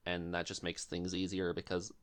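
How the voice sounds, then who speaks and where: A man's voice: low voice, monotone, moderate pace. A man hosting a casual interview, speaking in an accommodating, slightly hesitant way. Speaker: podcast host.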